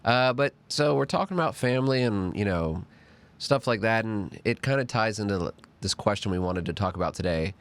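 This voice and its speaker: A man hosts a laid-back podcast. His voice sits fairly low and comes out nasal and stuffed up from a cold. He talks eagerly, his pitch leaping up whenever he stresses a point. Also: a little fast, clear, resonant tone. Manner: animated and talkative, pitch jumping up on emphasis. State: congested from a cold. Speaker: podcast host. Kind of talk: casual chat.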